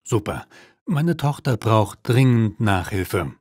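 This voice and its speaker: A man's voice: fairly low pitch, expressive, slow, darkish tone, no echo, studio quality. A man playing a polite, businesslike father making an enquiry in a scripted language-lesson dialogue. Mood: courteous. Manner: courteous, businesslike. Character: polite father seeking tutoring for his daughter.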